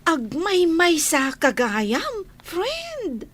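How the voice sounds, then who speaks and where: A woman's voice: extremely high pitch, wildly swooping pitch, drawn-out words, a bit bright, a little hiss or hum, heavily acted. A woman playing a young, chatty, humble housemaid in an audio drama. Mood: relaxed, friendly. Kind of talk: audio drama acting in dialogue.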